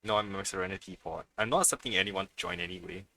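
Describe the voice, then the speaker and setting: A man's voice: sits low, quick pace, bright, forceful tone, no echo, poor audio. A man live-streaming a video game with casual, unscripted commentary, muttering to himself and swearing freely.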